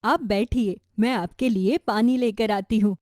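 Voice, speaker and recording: A woman voicing a gentle, wronged old mother-in-law in a cartoon story, speaking with care and protest. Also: a little high, unhurried, no echo, clean studio sound, close to an everyday voice. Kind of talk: Hindi cartoon story voice acting.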